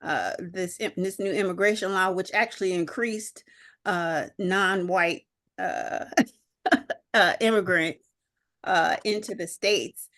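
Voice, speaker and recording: A woman on a video call, thinking aloud in a group discussion, with many pauses, fillers and restarts. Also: lowish voice, fairly bright tone. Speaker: discussion participant.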